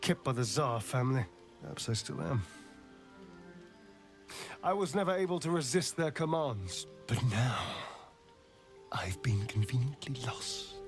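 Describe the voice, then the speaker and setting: Theatrical, swooping vampire. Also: male voice, medium pitch. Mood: bitter, defiant. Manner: arch, dramatic, swinging from mocking to hushed. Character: sardonic, theatrical male vampire spawn, centuries old. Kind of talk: video game character dialogue.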